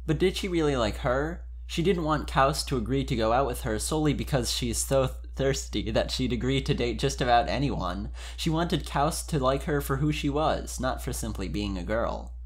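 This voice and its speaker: A man's voice: medium pitch, expressive, moderate pace, few pauses. A man speaking informally and expressively, as a podcast member giving an amused dramatic reading of fan fiction.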